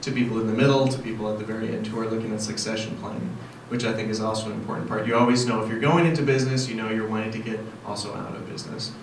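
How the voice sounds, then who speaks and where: A man who grew up in Saudi Arabia, a financial adviser speaking on a panel in an even, measured way. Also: on the low side, slight background noise. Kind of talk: panel introduction. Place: meeting room. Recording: very echoey room from far off.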